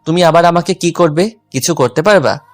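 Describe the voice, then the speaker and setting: A young husband played by a male voice actor speaks in a fairly high register, teasing and jealous, in an audio love story. Music runs under his voice. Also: fairly quick, natural voice. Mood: teasing, tender. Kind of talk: dramatized romantic audio story.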